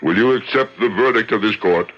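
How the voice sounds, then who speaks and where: An actor playing a solemn, commanding rabbi in a radio drama, declaiming in a measured, theatrical way. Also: male voice, on the low side, fairly bright tone, slight background noise, close to an everyday voice. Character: solemn, commanding rabbi judge conducting a tribunal and exorcism. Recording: dull lo-fi old radio recording.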